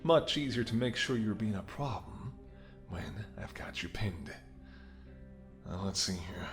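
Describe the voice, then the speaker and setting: A man's voice: fairly low pitch, expressive, measured pace, a bit bright, soft background music. A man playing a menacing, smirking mercenary ex-bodyguard in an audio roleplay, with a taunting, sardonic way of talking. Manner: taunting, sardonic, swearing, drops to whispers. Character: menacing, smirking male mercenary ex-bodyguard holding someone at gunpoint. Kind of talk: dramatic audio roleplay spoken to the listener.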